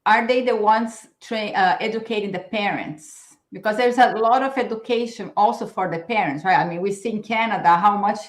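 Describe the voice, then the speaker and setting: A middle-aged woman interviewing a guest over a video call, her questions halting and restarted. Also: medium pitch. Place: room at home. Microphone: video call webcam. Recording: echoey room.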